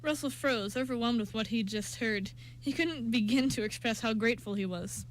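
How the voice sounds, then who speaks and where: A woman narrates an amateur radio play from a script. Her voice is fairly high, with the odd stumble in the reading, and a low hum and light noise sit behind her. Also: normal speed.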